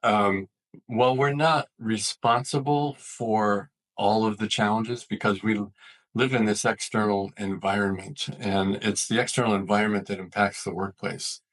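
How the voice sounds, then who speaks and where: A man's voice: on the low side, a bit bright, poor audio. A man, an expert guest in an interview, explaining his points earnestly and methodically. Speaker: physician, author and leadership expert interviewed as guest.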